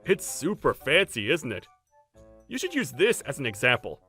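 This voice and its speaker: A woman's voice: very deep voice, wildly swooping pitch, normal speed, a bit bright, husky, soft background music, heavily acted. A woman voicing an arrogant, whiny young husband in a manga dub, scornful and exaggerated. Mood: smug. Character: arrogant, whiny, cheating young husband obsessed with fancy food.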